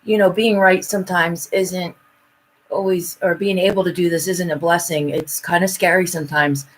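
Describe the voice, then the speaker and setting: A woman speaking casually and haltingly as a psychic reader in a live chat, often repeating her phrases. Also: on the low side, steady tone, slight background noise, poor audio.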